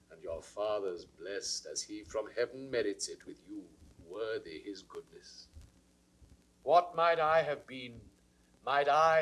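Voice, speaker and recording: A slow-spoken, grieving old king. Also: mid-range voice, poor audio. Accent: British. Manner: measured, grandly declamatory, formal. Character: aging grieving king, remorseful, courtly. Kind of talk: classical Shakespearean stage acting, verse declamation.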